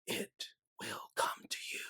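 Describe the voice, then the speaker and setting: A man's voice: slow, bright, forceful tone, no room sound, studio quality. A man voicing a menacing, taunting male phantom in a whispery voice for an audiobook story. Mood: sinister, hushed.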